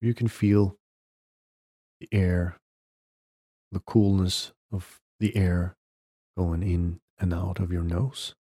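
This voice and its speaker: A man guides a meditation in a very low, mellow voice. He speaks slowly, leaving long pauses between short, gentle instructions. The sound is dry and close, with no room around it. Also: flat delivery, husky, dry recording. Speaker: podcast host guiding a meditation.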